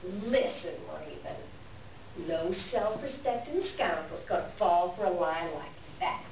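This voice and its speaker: A woman's voice: on the low side, animated, slow, creaky, darkish tone, full character voice. A woman playing a sly, selfish coyote trickster in a staged folktale reading, with folksy, boastful, wheedling talk. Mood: bargaining. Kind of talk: staged reader's theater. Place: live reading in a library room. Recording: echoey room, distant mic, background noise.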